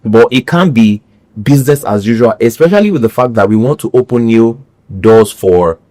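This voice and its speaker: A man's voice: mid-range voice, normal speed, warm tone. Earnest Nigerian man speaking. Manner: earnest, repeats phrases for emphasis. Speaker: actor, podcast guest. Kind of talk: conversational interview.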